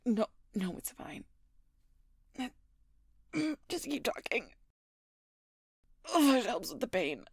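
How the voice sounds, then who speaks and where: A woman playing a wounded, dutiful werewolf knight in an intimate audio roleplay, halting and weary, in a breathy, husky, gravelly whisper. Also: fairly high pitch, sing-song, dry recording, heavily acted. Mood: strained pain. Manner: halting, weary, pained delivery. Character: wounded, dutiful female werewolf knight, wryly self-sacrificing protector.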